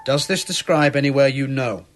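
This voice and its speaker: An actor playing a police inspector in a radio drama, asking measured, probing questions. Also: male voice, medium pitch, soft background music, close to an everyday voice.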